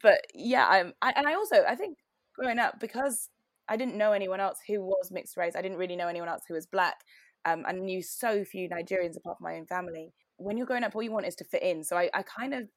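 A woman's voice: medium pitch, fast talker, no echo, clean studio sound. A young woman from Britain, a guest in a casual chat, talking reflectively and often restarting her sentences.

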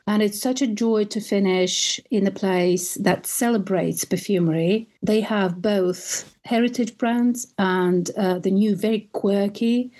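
A woman with a Russian accent talks enthusiastically, stringing anecdotes together and now and then restarting a word. Her voice is mellow and relaxed at a medium pitch. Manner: enthusiastic storytelling with occasional restarts. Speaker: guest, perfume tour guide. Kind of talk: conversational interview.

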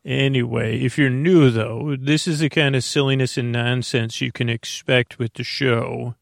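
A man hosting a bedtime podcast in a creaky, lulling voice, meandering and halting as he interrupts himself. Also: mid-range voice, fairly even intonation, no room sound, clean studio sound. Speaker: podcast host. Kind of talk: rambling bedtime monologue.

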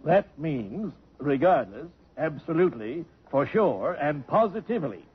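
An actor playing a genteel, gently teasing old man who lodges with a family, full of dry quips and courtly phrasing. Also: fairly high pitch, very animated, a little slow, breathy edge, heavily acted. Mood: wry. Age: older. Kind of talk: 1950s radio drama acting. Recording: muffled old radio broadcast.

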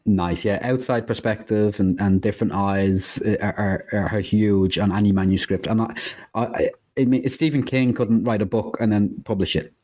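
A man's voice: fairly low pitch, steady tone, moderate pace, warm tone. A man co-hosting a podcast, enthusiastic and often stammering over restarts as he asks questions. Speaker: podcast co-host. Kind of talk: podcast interview.

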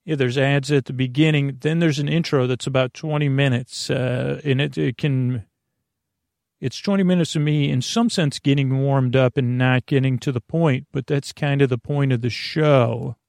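A man with a creaky, gravelly voice delivers a meandering monologue as a sleep podcast host. He drifts into tangents and breaks off his own sentences in a halting way. The recording is dry and close, with very little room sound. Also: medium pitch, steady tone, darkish tone, no room sound. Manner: meandering, halting, full of tangents and self-interruptions.

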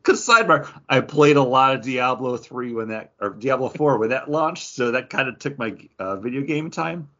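A man's voice: mid-range voice, expressive, moderate pace, few pauses, fairly bright tone, dull audio. A man co-hosting a podcast in casual pop culture chat, rambling along and often quoting his own thoughts with plenty of filler "like". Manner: rambling, self-quoting, peppers talk with "like". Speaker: podcast co-host.

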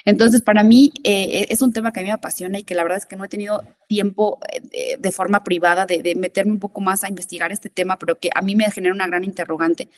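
A woman's voice: mid-range voice, steady tone, fast talker. A woman speaking as a guest expert in a conversational interview, emphatic and often repeating words as she searches for them.

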